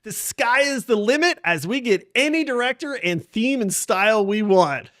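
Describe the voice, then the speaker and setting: Hyped up guy hosting a gaming podcast, bright forceful voice, dry close sound. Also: sits high, normal speed, no echo. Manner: animated, excitable, hyped-up. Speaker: podcast host. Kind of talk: casual gaming podcast banter.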